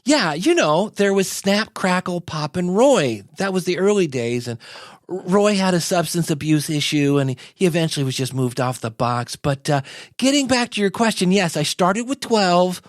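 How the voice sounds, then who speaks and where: An easygoing, modern-day messiah played by a man chats as a comedy podcast guest. His voice is notably high for a male, with a folksy, hesitant, conversational delivery like a small-time marketer. The sound is very dry. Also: lively intonation, normal speed, dry recording. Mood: matter-of-fact. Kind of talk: casual comedic interview chat.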